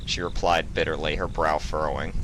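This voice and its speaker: A man's voice: extremely low pitch, little pitch movement, a little fast. A man reading a story aloud as narrator, in a scripted storytelling style. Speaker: narrator, fan fiction reader. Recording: faint background noise, cricket ambience.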